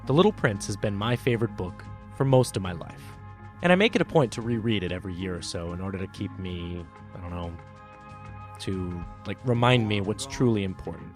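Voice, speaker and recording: Male host-narrator for story podcast: reflective, sincere, very varied intonation, clear music bed. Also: on the low side. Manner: earnest, reflective. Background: music under the voice.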